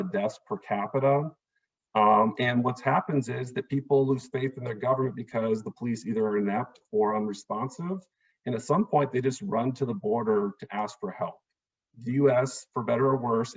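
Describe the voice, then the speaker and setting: A man's voice: mid-range voice, breathy edge, muffled recording. A man, an immigration attorney, giving an earnest, explanatory town hall talk over a video call, with frequent "um"s and "uh"s.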